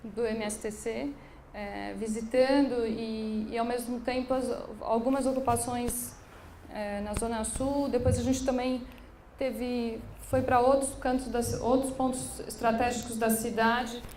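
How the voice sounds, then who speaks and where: A woman's voice: fairly high pitch, steady tone, measured pace, darkish tone. A woman from São giving a public talk as a curator, speaking hesitantly with many restarts and fillers. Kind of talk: public lecture. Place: room in an occupied building. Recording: echoey room, faint background noise.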